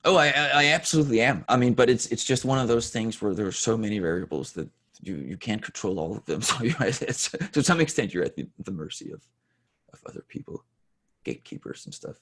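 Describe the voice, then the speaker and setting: A man in his forties from Denmark, a native Danish speaker, talking candidly in an interview in a halting, self-correcting way with a husky, gravelly voice. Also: fairly low pitch, expressive, fairly bright tone. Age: 40s. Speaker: interview guest.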